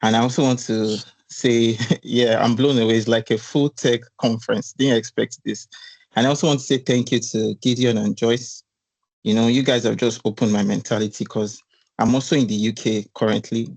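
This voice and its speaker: A man from Nigeria speaks as a webinar attendee, warm in his thanks and rambling as he works up to a question. His voice sits at an ordinary middle pitch, and the sound is close and dry with no room echo. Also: steady tone, normal speed. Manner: effusive and grateful, rambling. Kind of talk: casual spoken question in a webinar.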